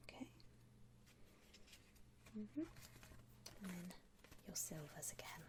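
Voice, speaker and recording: A woman playing a gentle vampire coffin saleswoman in a whispered ASMR roleplay, unhurried, with hesitant pauses and soft hums. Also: lowish voice, background noise. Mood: soft, attentive. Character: softly whispering female vampire coffin saleswoman, attentive and discreet. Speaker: ASMR performer playing a shop consultant.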